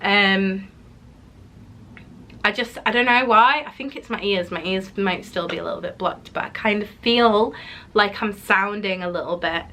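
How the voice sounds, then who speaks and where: A woman's voice: medium pitch, normal speed, bright, forceful tone, slight background noise. A woman vlogging her weekly weigh-in in a rambling, casual way, sounding slightly nasal as she recovers from illness. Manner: chatty, rambling, many ums and restarts. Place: home office.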